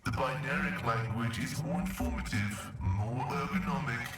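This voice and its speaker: An actor playing a pious cyborg tech-priest in a video game, speaking formally and solemnly through a metallic voice modulator. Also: male voice, medium pitch, lively intonation, normal speed, music in the background. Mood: solemn, instructive. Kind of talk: scripted video game dialogue. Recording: heavily echoing, distant processed game audio.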